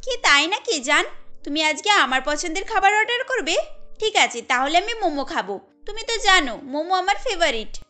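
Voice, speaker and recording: Very high, bright young wife acted by a woman. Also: sing-song, normal speed, exaggerated voice. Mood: delighted. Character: young city wife, sweet, pampered, chatty. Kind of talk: cartoon story dialogue. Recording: music under the voice.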